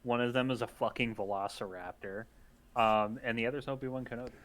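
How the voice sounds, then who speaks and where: A man's voice: mid-range voice, fairly even intonation, brisk, a bit bright. A man taking part in a casual podcast chat. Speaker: podcast participant.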